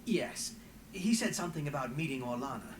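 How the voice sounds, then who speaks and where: Upbeat young male translator for a fantasy game: higher-pitched, animated, roomy. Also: fairly quick, noisy background. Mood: earnest. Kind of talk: fantasy video game dialogue.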